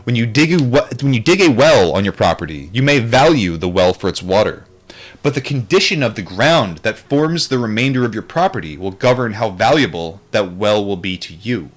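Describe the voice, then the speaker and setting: A man's voice: fairly low pitch, lively intonation, normal speed. A man reading aloud from a book in an informal way, sometimes adding casual commentary and stumbling over difficult terms. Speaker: book reader, streamer.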